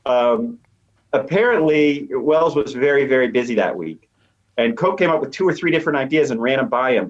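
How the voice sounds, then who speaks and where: A man speaking as an expert guest, explaining conversationally and thinking aloud as he goes. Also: mid-range voice. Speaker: expert guest, media professor. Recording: slightly dull, lo-fi line.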